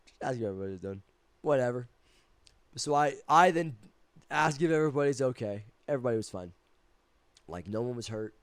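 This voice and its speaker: A man's voice: mid-range voice, animated, fairly quick, pauses often, clean tone, no echo. A young man co-hosting a podcast, sick and stuffy, telling a long story in casual, profane, rambling talk. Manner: rambling, profane, restarts often. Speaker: podcast co-host.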